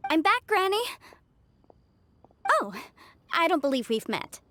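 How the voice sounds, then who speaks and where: High-voiced, chatty young legal advisor. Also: female voice, very animated, sharp tone, a little hiss or hum, exaggerated voice. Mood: confident. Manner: articulate, brisk sales-pitch patter, polite. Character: bright, talkative young female legal advisor, self-assured. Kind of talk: scripted video game dialogue. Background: background music.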